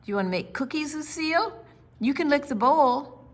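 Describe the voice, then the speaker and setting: A woman's voice: high voice, animated, moderate pace, pitched above the performer's own voice. Coaxing storybook mom, read by a woman. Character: coaxing, patient mother of a small girl. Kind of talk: storybook character read aloud.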